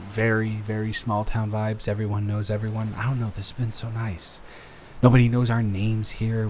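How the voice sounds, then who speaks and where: A man playing a nervous, adoring young boyfriend in an improvised intimate roleplay audio, speaking in a halting, bashful, rambling way. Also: lowish voice, a little mellow, a little hiss or hum, close to an everyday voice. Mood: happy, relaxed.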